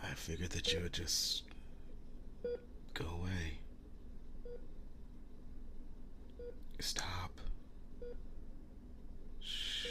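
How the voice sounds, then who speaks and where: A man playing a wounded, devoted young werewolf boyfriend in an intimate ASMR roleplay, speaking in a breathy whisper, halting and earnest. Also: low voice, heavy background noise. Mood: flustered, soothing. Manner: halting, earnest, soft-spoken with long pauses.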